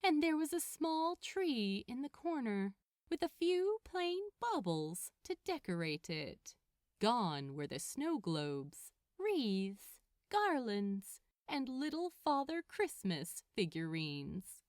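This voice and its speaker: A woman reading fiction aloud as an audiobook narrator, with expressive, dramatic and deliberate phrasing. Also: a little high, no echo, studio quality.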